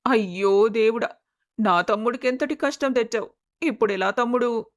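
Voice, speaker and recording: Lady acting fake-sympathetic scheming big sister, highish, story melodrama. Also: moderate pace, lightly acted. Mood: mock wailing. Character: scheming older sister faking loud sympathy.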